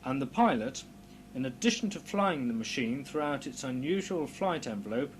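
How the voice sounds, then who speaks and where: A man reading a scripted technical instructional narration in a formal, measured, precise explanatory manner. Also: sing-song. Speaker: narrator of a technical training film. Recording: clear background noise with low hum.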